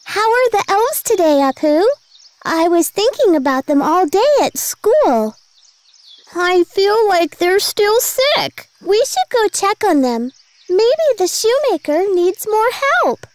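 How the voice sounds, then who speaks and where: A woman voicing a gentle, caring young child in a children's cartoon, sweet and earnest. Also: very high-pitched, big pitch swings, slow, no room sound, full character voice. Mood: caring, upbeat. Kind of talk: animated children's story acting.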